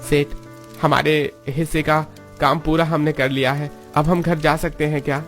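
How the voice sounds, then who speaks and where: Poor cartoon labourer, played by a man, fairly high voice, humble but proud, light music bed. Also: level tone, normal speed, lightly acted. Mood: respectful. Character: poor male factory worker, apologetic yet proud. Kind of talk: cartoon story acting.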